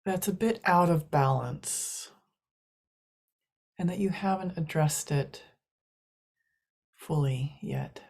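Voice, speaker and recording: Female meditation guide: low, mellow, hushed delivery in short, spaced-out phrases. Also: vocal fry. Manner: soft, hushed, long pauses between short phrases.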